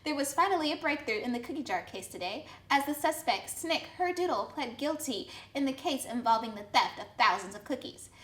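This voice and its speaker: A woman's voice: sits high, animated, brisk, few pauses, a bit bright. A woman playing a fairy tale news anchor, reading a scripted bulletin in a formal way. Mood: newsy. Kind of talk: mock TV newscast, scripted. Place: indoor studio set. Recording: echoey room.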